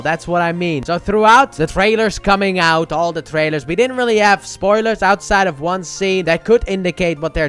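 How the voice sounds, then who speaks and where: A man's voice: fairly high pitch, fairly bright tone. A man giving a casual, enthusiastic movie review, stressing his words emphatically. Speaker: film reviewer, channel host. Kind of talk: casual scripted-loose movie review commentary.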